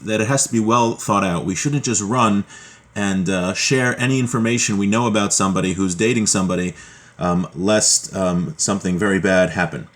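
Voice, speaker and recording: A man teaching a religious law class, reading from a text and explaining it informally in a didactic way, often pausing and rephrasing. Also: on the low side. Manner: didactic, with pauses and repeated rephrasing.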